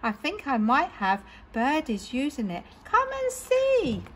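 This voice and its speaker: A woman's voice: high-pitched, wildly swooping pitch, unhurried, a bit bright, slight background noise, heavily acted. A woman voicing a thoughtful, obliging monkey in a children's storybook, friendly in manner. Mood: helpful.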